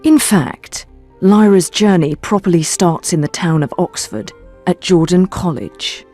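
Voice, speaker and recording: A man playing the storyteller who narrates a fantasy adventure game in a measured, scripted manner. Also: high-pitched, darkish tone, faint music behind. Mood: reflective.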